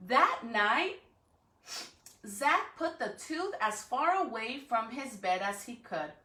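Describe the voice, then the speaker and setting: A woman educator speaks expressively and directly to young children, in read-aloud style. Her voice is bright and her pace unhurried. The recording has a clear room echo. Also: on the high side, big pitch swings. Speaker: school educator reading aloud to students. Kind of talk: storybook read-aloud and greetings to young students. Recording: echoey room.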